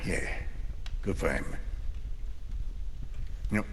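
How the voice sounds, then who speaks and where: A man's voice: low voice, very slow, vocal fry, fairly bright tone, in a large hall, full character voice. An actor playing a huge, hearty, grey-bearded northerner in a fantasy audio drama, teasing and chuckling as he talks. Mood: gruff, reassuring. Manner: hearty, teasing, chuckling through his talk. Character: huge boisterous jolly grey-bearded northern mountain of a man.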